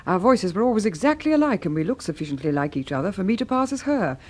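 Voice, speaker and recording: Husky, vengeful mother. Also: medium pitch, very animated. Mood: grim resolve. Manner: deliberate, accusing. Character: vengeful, resolute mother trapping her daughter's killer. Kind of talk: vintage BBC radio drama acting.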